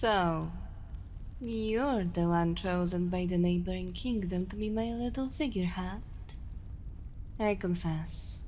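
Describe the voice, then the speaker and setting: Evil queen for ASMR drama, female performer: very unhurried, hushed, commanding, coolly amused, seductive menace, faint room noise behind. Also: mid-range voice, close to an everyday voice, rough recording. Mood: amused, predatory. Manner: slow, deliberate, hushed delivery slipping into whispers; commanding, condescending, teasing. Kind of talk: ASMR roleplay, intimate dramatic monologue.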